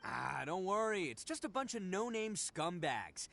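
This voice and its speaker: A man's voice: high voice, very animated, a little slow, vocal fry, fairly bright tone, exaggerated voice. An actor playing a cocky, boisterous young male brawler in a video game. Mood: confident. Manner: boisterous, swaggering. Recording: game music behind the voice.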